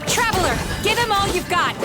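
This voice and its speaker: A woman voicing a gentle, earnest young female psychologist and dream healer in a video game, with a soft, measured, reflective manner. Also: a little high, close to an everyday voice. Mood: urgent. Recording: background game music.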